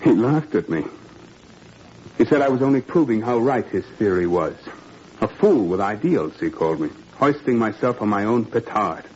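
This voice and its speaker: A principled, troubled father, played by a man in a 1940s radio play, low-voiced and expressive, probing with quiet irony. The old recording is muffled and faintly noisy. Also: normal speed, close to an everyday voice. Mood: bitter, resolute. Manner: probing, earnest, wryly ironic. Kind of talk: 1940s radio drama acting.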